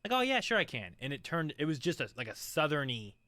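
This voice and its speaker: A man's voice: a little high, sing-song, quick pace, pushed and bright, no room sound. A man co-hosting a podcast in a studio, musing aloud in casual chat with many restarts and fillers. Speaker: podcast co-host.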